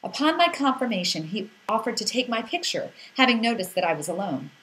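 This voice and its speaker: A woman reading a scripted first-person story as an expressive narrator who acts out the exclamations in it. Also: on the high side, brisk, low-quality recording.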